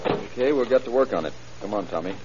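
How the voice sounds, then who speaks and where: An actor in a 1940s-style radio drama playing a wisecracking, grumbling police fingerprint expert with a sardonic manner. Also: male voice, fairly low pitch, animated, close to an everyday voice. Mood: matter-of-fact. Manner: wry, sardonic, drawn-out teasing. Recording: hissy, muffled old radio recording.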